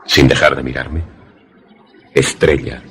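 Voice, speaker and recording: An actor playing a troubled man who narrates a suspense radio play in a grave, measured, literary manner. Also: very deep voice, little pitch movement, gravelly, a bit bright, a little hiss or hum, heavily acted. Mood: suspenseful, grave. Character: troubled man recounting an eerie encounter.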